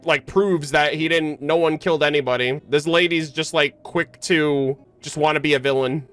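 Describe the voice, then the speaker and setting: A streamer comments casually over a game. The voice sits at a middle pitch, with slangy, reactive phrasing aimed at chat, and faint music plays underneath. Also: fairly even intonation, moderate pace, fairly bright tone.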